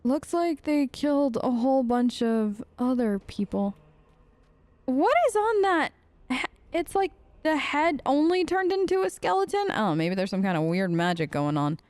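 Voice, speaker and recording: A woman giving chatty, excitable gameplay commentary as a streamer, talking straight to her viewers. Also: high voice, unhurried, dry recording. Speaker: let's play streamer.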